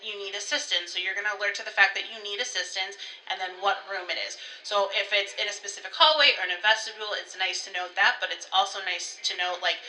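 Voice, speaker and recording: A woman's voice: mid-range voice, sharp tone, slight background noise, poor audio. A woman co-hosting a conversational staff training talk, explaining procedures step by step with examples. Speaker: co-host, school staff trainer.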